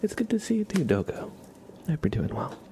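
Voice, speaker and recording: An ASMR livestream host whispering casually to viewers in a soft-spoken, unhurried way. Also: mid-range voice, sing-song, background noise.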